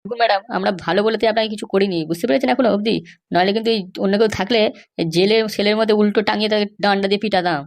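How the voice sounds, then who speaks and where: Woman playing a rapid-fire, stubborn cartoon cop. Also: mid-range voice, steady tone, close to an everyday voice. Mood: dismissive. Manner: insistent, dismissive, lecturing. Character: stubborn, bribe-seeking police officer guarding a prisoner. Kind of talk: Bengali comedy cartoon dialogue.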